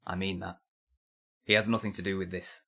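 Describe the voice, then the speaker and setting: Man playing defiant young zealot, low and sharp. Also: little pitch movement, brisk, creaky, full character voice. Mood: cold, defiant. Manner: defiant, terse, bitterly sardonic. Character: defiant, zealous nineteen-year-old male blacksmith's apprentice under interrogation. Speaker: voice actor in an audio drama. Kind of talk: dramatic interrogation dialogue.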